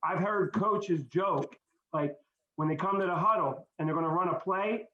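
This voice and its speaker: A man's voice: high-pitched, normal speed, very noisy. A middle-aged man speaking earnestly and reflectively in a group conversation, often repeating words as he goes. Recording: dull, echoey audio.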